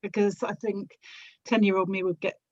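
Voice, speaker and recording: A woman's voice: medium pitch, flat delivery, normal speed, no room sound, highs cut off. A middle-aged woman, chatting casually as an interview guest on a podcast. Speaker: podcast guest, audio drama actor and producer.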